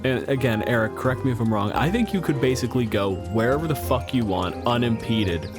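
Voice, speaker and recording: A man runs a tabletop game in a mid-pitched voice, telling the story casually and jokingly with some swearing. Clear music plays behind him. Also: expressive, brisk. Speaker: game master and host.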